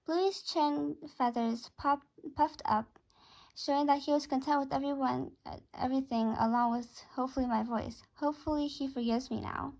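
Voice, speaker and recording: A woman's voice: sits high, steady tone, normal speed, gravelly, a little mellow, rough recording. A woman reading a first-person story aloud, now and then stumbling and repeating a phrase.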